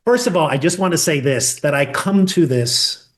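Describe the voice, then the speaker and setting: A middle-aged man with a Northern Irish accent, talking reflectively as an interview guest in a measured, halting way. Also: a little high, expressive. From Northern Ireland. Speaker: podcast guest, conflict resolution expert.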